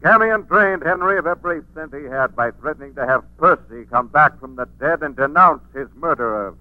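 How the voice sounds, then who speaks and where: An actor playing a menacing, unseen avenging crime fighter with slow, ominous, declamatory delivery in 1940s radio style. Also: male voice, on the high side, no room sound, natural voice. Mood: accusing. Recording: muffled narrow-band 1940s radio recording.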